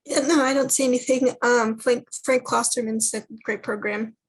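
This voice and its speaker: Flat-voiced woman moderating a webinar. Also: on the high side, normal speed, husky, low-quality recording. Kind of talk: reading closing announcements.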